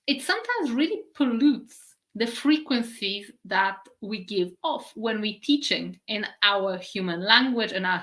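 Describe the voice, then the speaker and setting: A middle-aged woman from Poland gives a spiritual live-stream talk. Her voice is bright and forward, and she stretches out key words slowly. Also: medium pitch. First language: Polish. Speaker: spiritual teacher.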